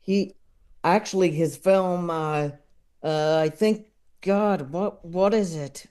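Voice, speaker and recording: Male comedy-expert guest with a high, mellow voice, slow and halting, conversational. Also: smooth. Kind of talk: casual conversational film discussion.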